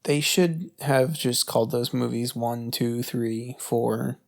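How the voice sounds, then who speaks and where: Young guy podcast co-host, casual n sweary, kinda hesitant, mid pitch. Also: takes it slowly, vocal fry. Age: young adult. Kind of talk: casual movie chat.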